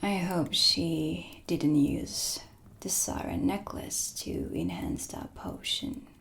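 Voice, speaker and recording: A woman playing a proud, mysterious dark witch with cool menace, whispering slowly and theatrically in an ASMR roleplay. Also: lowish voice, big pitch swings, heavily acted. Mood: curious, appraising. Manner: slow, breathy, theatrical, deliberate whispering. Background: dark ambient music.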